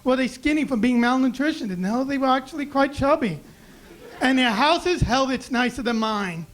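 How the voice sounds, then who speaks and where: A young man with a high, raspy voice doing a self-deprecating deadpan stand-up set on a club stage mic. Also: moderate pace. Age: young adult. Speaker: stand-up comedian. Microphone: handheld stage mic.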